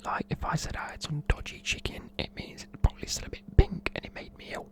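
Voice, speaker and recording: Whispering British ASMR presenter. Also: a little fast. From United Kingdom. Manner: informal, chatty, matey. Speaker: presenter explaining slang.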